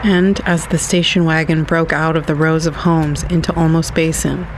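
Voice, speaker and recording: Male storyteller for literary narration, crackly fry in the voice, measured, faint noise behind. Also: a little high, level tone.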